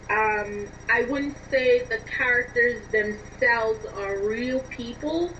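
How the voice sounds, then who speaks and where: A woman speaking as an interview guest and writer, earnestly explaining her views through personal examples. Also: on the high side. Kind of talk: reflective interview conversation. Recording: dull lo-fi, distant, noisy line.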